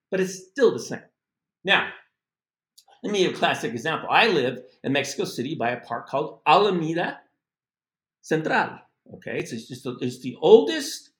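Man, middle-aged, from the United States, casual lesson, animated, high voice, echoey room. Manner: animated and chatty, with asides and laughs. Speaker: language teacher.

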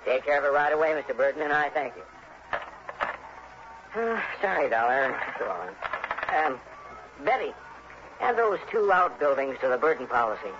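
An actor playing a harried, chummy insurance agent in an early 1960s radio drama, earnest, hurried and folksy. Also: male voice, fairly high pitch, sing-song. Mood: businesslike. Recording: noisy, dull vintage radio recording.

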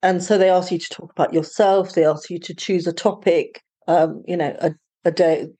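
A woman's voice: lowish voice, steady tone, moderate pace, mellow, slightly rough. A woman with a British accent chatting casually and telling anecdotes. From Britain.

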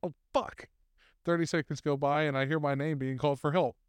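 A man's voice: a little high, steady tone, a little fast, clear, resonant tone, no room sound, clean studio sound. A man co-hosting a casual podcast, reading posted stories aloud with fillers and laughs.

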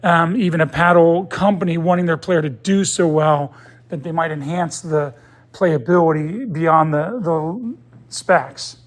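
A man's voice: fairly high pitch, unhurried. A man in his fifties, a guest in a casual sports interview, speaking in an earnest, opinionated way as he thinks aloud. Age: 50s. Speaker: interview guest, pickleball player.

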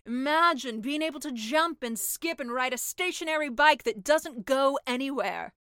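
A woman's voice: high-pitched, moderate pace, fairly bright tone, dry recording, studio quality. A woman voicing a brash, worldly sea witch with a blunt, matter-of-fact, slightly sly manner in a comic fairy-tale audiobook. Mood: instructive.

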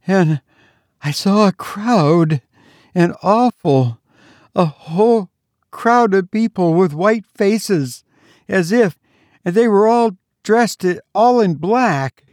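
A man's voice: very high voice, gravelly, mellow, no echo, clean studio sound, pitched above the performer's own voice, exaggerated voice. A man reading aloud and voicing a terrified young woman who pants and stammers for breath.

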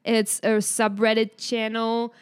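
A woman's voice: a little high, completely flat delivery, slow pace, smooth. A young woman from France with a French accent, co-hosting a casual podcast chat, friendly, with frequent laughs and fillers. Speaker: podcast co-host.

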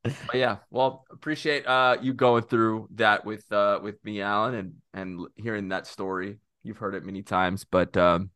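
A man's voice: lowish voice, fairly even intonation, moderate pace, a bit bright, smooth, no room sound, studio quality. A young man from Texas co-hosting a podcast, chatting casually in rambling, self-interrupting talk with jokes. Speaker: podcast co-host.

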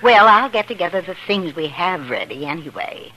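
An actress playing a kindly, fretting older mother who gently scolds, in a 1950s radio drama. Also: on the low side, animated, moderate pace, low-quality recording. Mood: motherly. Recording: vintage 1950 radio broadcast.

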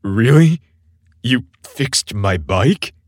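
A man voicing a mysterious, handsome, laid-back male neighbour in a romance story, with a rich baritone and casual, hesitant charm. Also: animated, extremely slow, no echo, clean studio sound. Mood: excited. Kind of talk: romance audio story dialogue.

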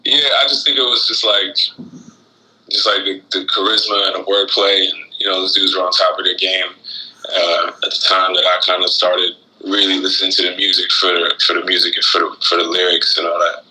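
A man from Florida chatting casually as an interview guest, halting and reflective as he talks. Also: deep voice, flat delivery, sharp tone, a little hiss or hum, low-quality recording. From Florida, United States, born in Guyana. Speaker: interview guest, rapper and shoe designer.

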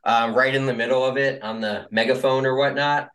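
A man's voice: medium pitch, fairly even intonation, a little fast, a bit bright. A young man co-hosting a podcast, recapping events in a rambling, filler-heavy casual way. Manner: rambling, many fillers and hedges. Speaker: podcast co-host.

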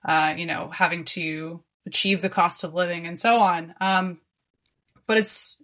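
A woman hosting a podcast talks slowly and thoughtfully, often pausing to find the next word. Her voice sits somewhat low for a woman. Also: steady tone, a bit bright. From Canada. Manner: reflective, searching for words. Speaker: podcast host. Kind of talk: casual conversational interview.

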